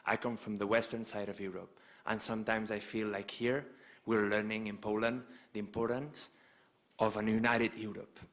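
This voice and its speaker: A young man from Spain, asking a polite, earnest question as a student, with halting restarts. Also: lowish voice, flat delivery, fairly bright tone. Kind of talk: audience question at a lecture Q&A.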